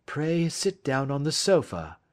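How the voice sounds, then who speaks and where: A calm, authoritative detective from an audiobook, acted by a male narrator. The voice sits raised in pitch, with crisp, deliberate delivery. The manner is precise and gently grave. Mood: gentle, certain. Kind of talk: audiobook character acting.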